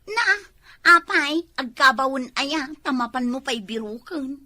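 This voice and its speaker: A woman's voice: high-pitched, expressive, measured pace, bright, forceful tone, dry recording, exaggerated voice. A woman with an Ilocano accent playing a bossy, nagging village wife in an amateur comedy drama. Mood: gossipy, nagging. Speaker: actor in comedy skit.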